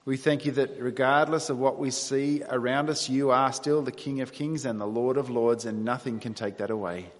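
A man with an Australian accent preaching a sermon to his congregation in a conversational, self-deprecating way. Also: medium pitch. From New South Wales, Australia. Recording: long reverberant hall sound.

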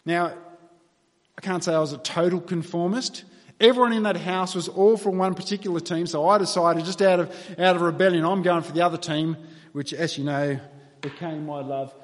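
An Australian man delivering a sermon, moving between earnest prayer and relaxed storytelling with dry, wry humour. His voice sits a little high for a man. The sound carries the long reverb of a church hall. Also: moderate pace. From New South Wales, Australia. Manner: earnest, conversational, wry asides. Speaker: pastor.

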